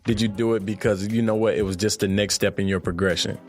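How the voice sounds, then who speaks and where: A man hosting an interview podcast, talking in a laid-back, slangy, lively way. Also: lowish voice, quick pace, faint music behind. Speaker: podcast host. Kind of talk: casual interview chat.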